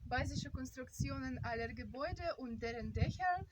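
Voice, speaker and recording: A woman with a Russian accent leads a travel vlog tour outdoors, explaining each sight in a patient, slightly halting way. Her voice sits high and moves a lot in pitch. She is picked up from some distance by the camera mic, with loud outdoor noise behind her. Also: lively intonation. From Karelia, Russia. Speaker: travel vlogger and tour guide. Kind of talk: guided travel narration. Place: outdoors, lakeside and island sightseeing spots.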